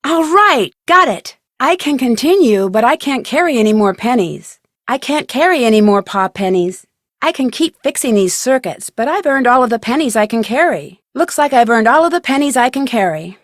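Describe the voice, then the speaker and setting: Video game lead: actress as inquisitive young American woman sleuth, plucky, conversational, crisp, higher register, dry studio sound. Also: expressive, normal speed, no echo, lightly acted. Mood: triumphant, playful. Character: plucky, inquisitive young female amateur detective. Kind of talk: scripted video game voice acting.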